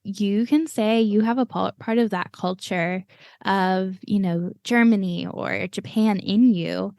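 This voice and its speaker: A woman's voice: mid-range voice, measured pace, gravelly, a little mellow, no room sound. Woman host, casual interview chat, rambling and upbeat, mid-range voice, dry close sound. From United States. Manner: chatty, rambling, upbeat with frequent fillers. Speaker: podcast host.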